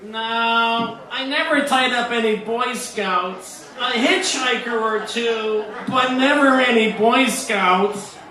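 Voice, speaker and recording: An earnest young sidekick, voiced by a man from a radio detective serial, very high and slow, naive and melodramatic. The voice echoes heavily, as if from across a room. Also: bright, forceful tone, full character voice. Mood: earnest, wide-eyed. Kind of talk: old-time radio serial parody acting. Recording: echoey, distant, with music behind.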